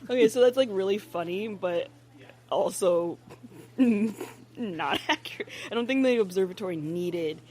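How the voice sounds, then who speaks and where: A woman's voice: medium pitch, expressive, moderate pace, darkish tone. Playful sarcastic woman co-host. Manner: playful, sarcastic, laughs often. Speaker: podcast co-host. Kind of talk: casual joking chat.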